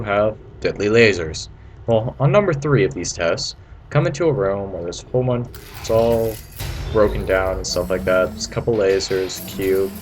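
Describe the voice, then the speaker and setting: A low-pitched man talks through a video game in a casual, rambling style. He sounds informal and playful, like an amateur creator guiding viewers. The recording is homemade, with some noise behind the voice. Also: darkish tone. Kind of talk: casual gameplay commentary. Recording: noisy amateur home recording.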